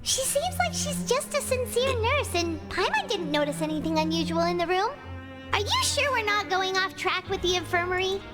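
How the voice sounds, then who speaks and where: Squeaky, childlike little fairy companion. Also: female voice, normal speed. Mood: chiding, puzzled. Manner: speaks of herself in third person, excitable. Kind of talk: video game character acting. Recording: loud music behind.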